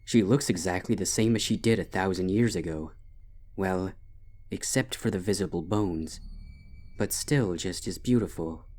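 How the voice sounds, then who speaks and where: A man voicing a haunted, grieving royal pony princess with a soft, eerily composed manner in a dark story reading. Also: low voice, moderate pace, long pauses, close to an everyday voice. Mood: detached, fond. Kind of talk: dramatic fan fiction reading.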